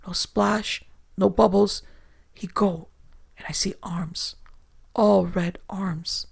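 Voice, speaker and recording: A big swarthy sailor gasps out broken English in a raised, gravelly, breathy voice, as a narrator puts him on. He stammers and drops into frightened whispers, his words rushed and shaky. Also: mid-range voice, steady tone, voice pushed up, heavily acted. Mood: terrified.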